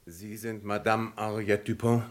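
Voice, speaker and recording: An actor playing a courteous, methodical French police commissioner in a vintage German radio crime drama, speaking politely and deliberately with probing questions. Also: male voice, lowish voice, level tone, heavy background noise, natural voice.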